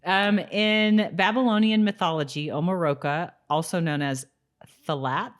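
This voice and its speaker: A woman's voice: lowish voice, a little slow. A woman co-hosting a podcast in a casual, conversational style. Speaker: podcast co-host. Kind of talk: casual chat with some reading aloud.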